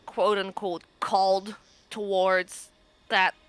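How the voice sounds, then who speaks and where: A woman's voice: medium pitch, level tone, takes it slowly, clean tone, no room sound. A woman chatting casually as a player in a tabletop roleplaying game.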